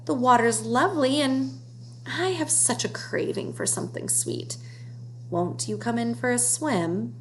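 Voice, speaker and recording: A woman's voice: lively intonation, unhurried, low-quality recording. Woman putting on a coaxing, lilting storybook crocodile. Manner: coaxing, eager, high-lilting. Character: sly, sweet-toothed, coaxing crocodile. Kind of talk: children's storybook read-aloud. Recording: clear background noise with hum.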